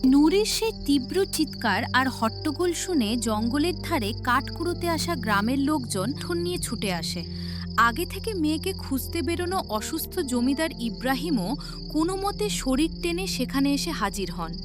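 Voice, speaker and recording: A woman's voice: high-pitched, very animated, normal speed. A woman narrator reading a scripted story in a dramatic storytelling style. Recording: loud music behind the voice.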